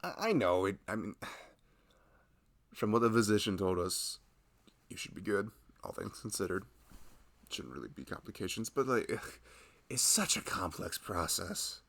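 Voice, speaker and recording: A man playing a loving, protective husband in an intimate ASMR roleplay, soft-spoken and chatting in a rambling, casual way. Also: lowish voice, big pitch swings, moderate pace, creaky, pauses often, exaggerated voice. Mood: sympathetic, worried.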